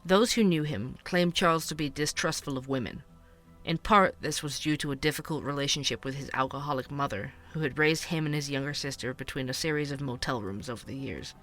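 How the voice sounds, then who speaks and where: Bright-voiced, serious male true-crime host , acted. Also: a little high, soft background music. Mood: grave, informative. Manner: measured, grave. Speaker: host and interviewer. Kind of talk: scripted documentary narration.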